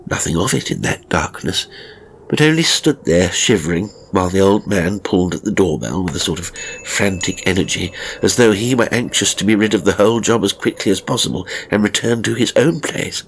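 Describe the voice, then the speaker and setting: A man narrating a literary ghost story in the first person, with measured, dramatic phrasing and deliberate pauses. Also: low-pitched, a little hiss or hum, low-quality recording. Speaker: audiobook narrator. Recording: music behind the voice. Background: music.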